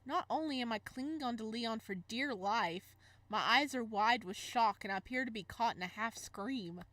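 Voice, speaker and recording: A woman's voice: fairly high pitch, normal speed, fairly bright tone, no echo. A woman reading a visual novel aloud with casual reactions, giggling and stumbling over words as she goes. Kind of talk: let's play read-aloud with casual reactions.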